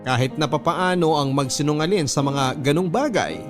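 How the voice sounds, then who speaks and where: A Filipino man narrates a radio drama story in the first person. His voice sits a little high and sounds earnest, with music underneath. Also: moderate pace.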